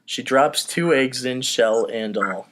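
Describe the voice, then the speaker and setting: A man's voice: mid-range voice, slow pace, a bit bright. A man hosting a comedy podcast, casually reading out stage directions and steering a table read.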